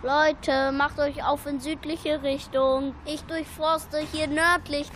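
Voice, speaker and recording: Woman voicing a high-pitched, chatty little earworm. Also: little pitch movement, slow, slight background noise. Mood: urgent. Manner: exclaiming, grumbling, thinking out loud. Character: lost little male earworm, easily annoyed, chatty. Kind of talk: children's audio story acting.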